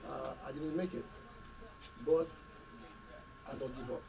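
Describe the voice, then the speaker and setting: A middle-aged man, hoarse from a cold, giving an earnest speech at a community meeting in short, paused phrases. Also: medium pitch, fairly even intonation, crowd noise. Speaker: school committee member. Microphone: podium mic, camera at a distance. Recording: distant mic with faint room noise.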